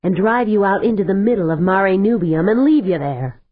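Woman reading cold angry male leader, low seething delivery, tinny dry sound. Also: expressive, moderate pace, no echo, close to an everyday voice. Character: stern male colony leader, barely holding back fury.

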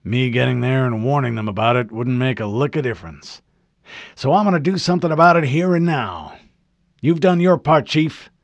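A man voicing a gruff, commanding older admiral with a folksy drawl, in an audiobook. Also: mid-range voice, normal speed, no echo, dull audio, pitched above the performer's own voice, natural voice. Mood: confident.

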